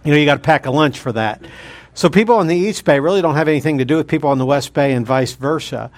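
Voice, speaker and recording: A guest preacher opens his sermon in a man's voice that sits slightly high. His delivery is folksy and joking, full of light asides to the congregation. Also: quick pace. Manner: jokey, folksy.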